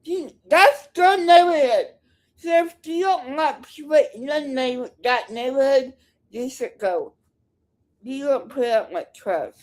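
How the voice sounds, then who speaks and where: Slow, high-pitched, combative woman. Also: darkish tone. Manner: combative, scornful, curt. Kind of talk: unscripted heckling reaction commentary.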